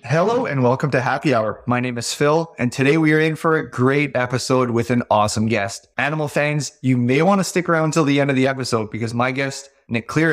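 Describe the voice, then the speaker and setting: Joking male podcast host. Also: medium pitch. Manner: friendly, joking, stumbles and repeats words. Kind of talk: casual interview.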